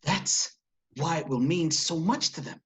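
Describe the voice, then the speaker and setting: A man's voice: fairly high pitch, unhurried, fairly bright tone. A man acting an earnest, persuasive television producer in a screenplay table read. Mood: pleading, persuasive.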